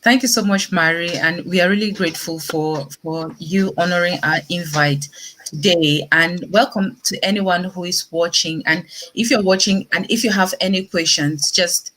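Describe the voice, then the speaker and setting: Lower-voiced woman hosting an online show. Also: normal speed, fairly bright tone, a little hiss or hum, poor audio. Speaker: host.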